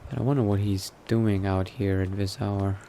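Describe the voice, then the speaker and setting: A man playing a newly turned vampire in a game, thinking aloud in character in a musing, unhurried manner with trailing phrases. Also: on the low side, fairly even intonation, mellow. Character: newly turned vampire exploring new powers, musing adventurer. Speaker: roleplaying game player. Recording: noticeable background noise.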